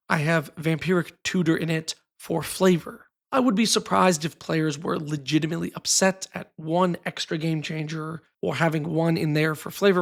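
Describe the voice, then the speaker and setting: A man with a somewhat high, gravelly voice, made raspy by a cold. He talks through his views on a card game in a scripted, explanatory way with casual asides. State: sick, raspy voice. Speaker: card game content creator. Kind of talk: scripted video essay.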